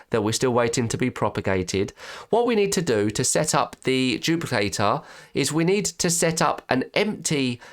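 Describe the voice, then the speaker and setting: A man talks through a screen-recorded tutorial with a stuffy nose and a slightly croaky voice. His delivery is chatty and informal, full of quick restarts and corrections as he works on screen. His pitch sits in a middle range for a man. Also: a bit bright. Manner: chatty, restarts and self-corrects while clicking. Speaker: web design tutor.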